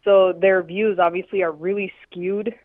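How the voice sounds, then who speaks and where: A woman's voice: medium pitch, fairly even intonation, a little slow. A woman from North Dakota calling in to a talk show, often starting her sentences with ums. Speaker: caller. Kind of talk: call-in chat. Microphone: phone. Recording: tinny phone line.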